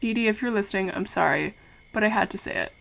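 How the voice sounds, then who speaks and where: An actress playing a young, curious documentary maker who is polite, wry and playful, in an audio drama. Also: female voice, medium pitch, fast talker, gravelly, a bit bright. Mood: wry, confiding. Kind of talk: audio drama acting with narration.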